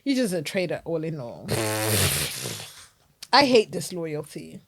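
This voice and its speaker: A woman with a Zimbabwean accent co-hosting a podcast, chatting casually, emphatic and quick to laugh. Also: deep voice, creaky, breathy edge. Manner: animated, emphatic, laughs often. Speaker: podcast co-host. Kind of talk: casual chat.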